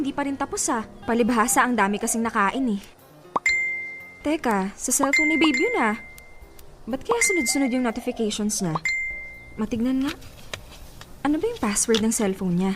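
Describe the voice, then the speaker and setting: A woman's voice: high-pitched, expressive, a little fast, pauses often. A woman with a Filipino accent acting a smitten, coy young woman who playfully scolds in a Tagalog radio romance drama. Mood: wondering. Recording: radio drama with clear background music.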